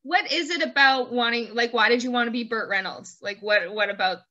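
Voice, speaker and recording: A woman hosting a casual interview over a video call, chatting playfully and quick to laugh. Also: on the high side, pushed and bright, muffled recording. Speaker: host interviewing a guest. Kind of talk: casual chat and quick-fire questions.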